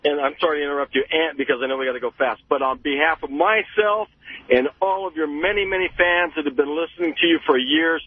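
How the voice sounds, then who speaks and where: An eager, rambling male caller with a raspy, fairly high voice. He comes through a thin, tinny phone line with a dry sound. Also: dry recording. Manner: eager, rambling, talks fast. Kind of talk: call-in radio talk. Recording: thin telephone line on air.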